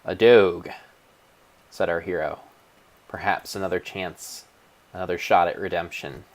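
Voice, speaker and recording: Male narrator, low register, theatrical and grave, reading gameplay as an epic tale. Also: fairly even intonation. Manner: theatrical, solemn, mock-epic delivery with drawn-out words. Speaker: streamer narrating own gameplay. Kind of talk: dramatic storybook narration of a video game.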